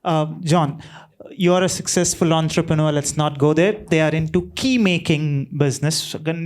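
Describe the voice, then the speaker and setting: A man moderating a panel, asking long, roundabout questions with light jokes. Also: on the high side. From India.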